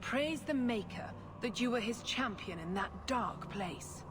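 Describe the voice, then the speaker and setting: An actress voicing a scheming, zealous priestess in a video game, with a formal, controlled and sanctimonious manner. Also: female voice, a little high, animated, a little slow. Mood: cold, smug. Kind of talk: dramatic video game acting. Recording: loud ambient noise behind.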